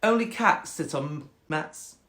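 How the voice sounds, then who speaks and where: A man's voice: fairly high pitch, animated, fairly bright tone, roomy sound, a little hiss or hum. Man putting on a haughty, swooping snobby cat. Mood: bossy, haughty. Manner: haughty, drawn-out, insistent. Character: snobby, bossy cat laying down the rules.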